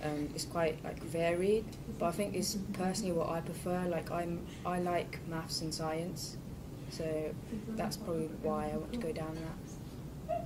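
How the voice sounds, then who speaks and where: A young woman student with a somewhat low voice talks in a chatty, easygoing way and laughs often. She is recorded from a distance, with clear noise behind her. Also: fairly even intonation, unhurried. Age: young adult. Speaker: sixth form student. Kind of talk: candid group discussion.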